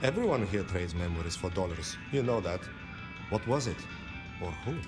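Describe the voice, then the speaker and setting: A weary but friendly pawnbroker from a video game, musing wistfully. The pitch rises and falls widely in theatrical phrasing, with music clearly playing behind. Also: male voice, expressive, normal speed.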